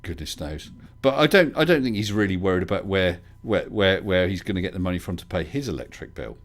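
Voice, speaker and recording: A man's voice: sits low, lively intonation, a little fast. A man hosting a casual livestream call-in chat, bringing callers in and answering them with dry, wry humour. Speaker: livestream host.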